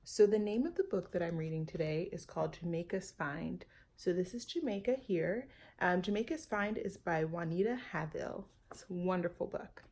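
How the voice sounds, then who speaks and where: A woman's voice: on the low side, expressive, normal speed, a little mellow. Woman reading a storybook to young children. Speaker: storytime reader. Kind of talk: children's read-aloud with chatty asides to young listeners.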